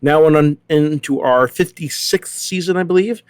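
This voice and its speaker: A man's voice: fairly high pitch, normal speed, clear, resonant tone, no echo, clean studio sound. A man from San Diego giving a casual, professional introduction as a panel guest. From from San Diego, United States. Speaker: theater director, panel guest.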